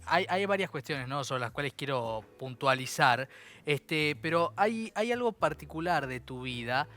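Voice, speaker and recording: A man's voice: a little high, pushed and bright. A man with a Rioplatense Spanish accent hosting an interview, asking long, engaged questions and often putting in short affirmations. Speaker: interview host.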